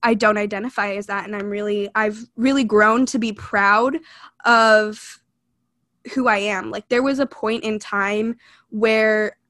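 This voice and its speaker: A woman's voice: mid-range voice, steady tone, measured pace, fairly bright tone. A young woman from California co-hosting a podcast, speaking in an earnest, reflective way with frequent "like" and "you know". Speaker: podcast co-host. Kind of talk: casual chat.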